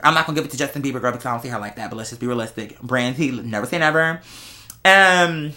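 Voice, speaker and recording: A young American man with a bright, forward voice at a medium pitch. He chats in a casual, confessional vlog style, sassy and slangy, leaning hard on key words and dropping in dramatic asides. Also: fast talker. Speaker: vlogger. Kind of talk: casual chatty confessional monologue.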